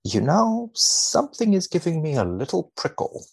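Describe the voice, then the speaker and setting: A man speaking as a researcher guest on a podcast, dry and mock-serious in a measured delivery. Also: on the high side, very animated, slightly rough. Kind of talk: podcast chat and reading aloud from a study.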